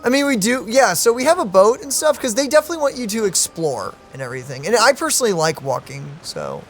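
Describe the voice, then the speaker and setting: Lively woman in casual gaming chat. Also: on the high side, fast. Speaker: gaming reaction host. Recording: faint game music behind. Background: game music.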